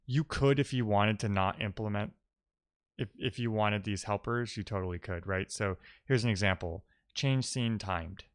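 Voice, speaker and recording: A man's voice: lowish voice, moderate pace, smooth. A man giving casual, think-aloud commentary as a live-coding streamer, often muttering to himself and trailing off.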